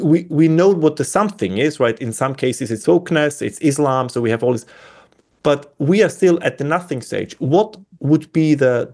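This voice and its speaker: A man's voice: mid-range voice, gravelly, a little mellow. A man with an Austrian German accent, a guest in a conversational discussion who speaks thoughtfully and often restarts his phrases while he searches for words.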